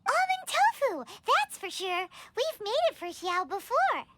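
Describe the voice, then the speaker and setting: A woman voicing a tiny, childlike fairy companion in a video game, squeaky, bubbly and exclamatory, eager and fond of food. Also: very animated, unhurried, heavy background noise, heavily acted. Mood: excited. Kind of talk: animated video game dialogue. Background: music.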